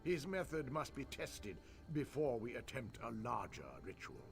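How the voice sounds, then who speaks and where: An actor playing a scholarly, impatient fantasy-game mage who muses pedantically and talks down to people. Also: male voice, medium pitch, sing-song, normal speed, vocal fry, faint music behind. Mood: intent. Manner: pedantic, musing, condescending. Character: scholarly elf mage, fascinated by spirits, impatient. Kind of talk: video game character acting.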